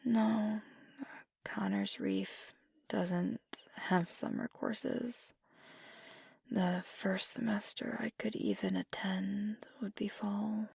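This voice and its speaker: A woman voicing a fiery young werewolf girlfriend in intimate ASMR roleplay, husky and often whispery, confiding earnestly and haltingly. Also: medium pitch, little pitch movement, darkish tone, dry recording, clean studio sound, heavily acted. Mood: tender, grateful. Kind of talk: intimate ASMR roleplay, soft half-whispered close speech.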